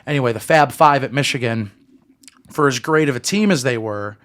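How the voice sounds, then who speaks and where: A man's voice: medium pitch, brisk, a bit bright. A man co-hosting a podcast in casual sports chat, explaining things and repeating points for emphasis. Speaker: podcast co-host.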